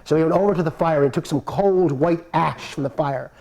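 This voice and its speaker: Man, storyteller, live puppet show, playful, animated, chuckling asides, slightly high voice. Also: a little fast, gravelly, low-quality recording. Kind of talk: live folk-tale storytelling. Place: live performance before an audience.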